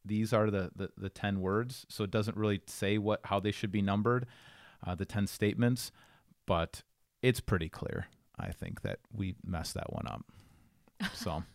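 A man's voice: lowish voice, steady tone, unhurried, no echo, clean studio sound. A man co-hosting a podcast, talking casually and explaining things as he goes. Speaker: podcast co-host.

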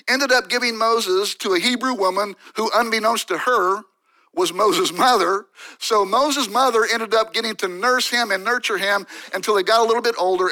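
Guy preaching a sermon, high bright voice, lively storyteller, keeps asking the room to think about it. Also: poor audio. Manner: animated storytelling preacher, rhetorical asides to the congregation. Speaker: pastor.

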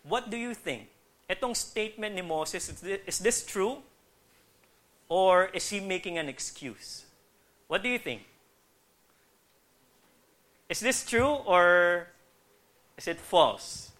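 A man's voice: sits high, expressive, slow pace, pauses often, a bit bright. A man with a Filipino accent giving a conversational sermon, acting out dialogue and putting questions to listeners. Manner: conversational, acts out quoted dialogue. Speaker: preacher. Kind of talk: sermon, teaching with Bible readings and questions to the congregation.

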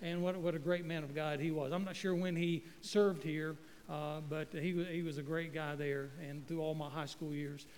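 Folksy preacher, high voice. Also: male voice, flat delivery, moderate pace, a little mellow, big-room sound, a little hiss or hum. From North Carolina. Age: middle-aged. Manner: folksy, joking, stumbling restarts. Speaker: guest pastor. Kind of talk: sermon. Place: church sanctuary. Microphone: handheld microphone.

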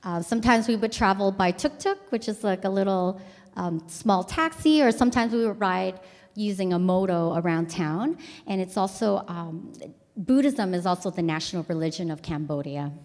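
A woman missionary giving a calm, explanatory church presentation with some fillers. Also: medium pitch, moderate pace, big-room sound. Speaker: missionary speaker.

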